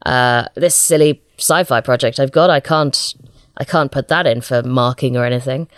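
A woman's voice: a bass voice, moderate pace, no echo, studio quality. A woman chatting casually as an interview guest, a writer with a hesitant, self-deprecating manner.

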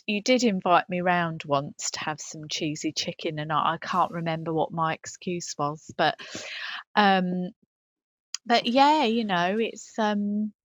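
A woman's voice: medium pitch, dry recording. A British woman playing a polite, tactful podcast co-host who humours her friend in hesitant comic chat. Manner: hesitant, polite, tag questions.

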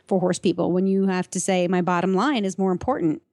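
A woman's voice: on the low side, fairly even intonation, a little fast, darkish tone, no echo. A woman co-hosting a casual podcast conversation, explaining things in a practical, matter-of-fact way. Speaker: podcast co-host.

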